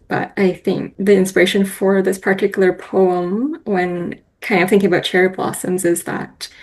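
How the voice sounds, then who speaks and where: A woman poet talks openly about her writing, with soft laughs between her thoughts. Her voice carries a strong gravelly fry. She speaks with candor and keeps a warm tone. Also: medium pitch. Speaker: guest poet. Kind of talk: casual reflective conversation.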